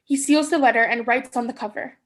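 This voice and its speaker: Casting: female stage-directions reader, notably high voice, scripted narration. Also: fairly quick.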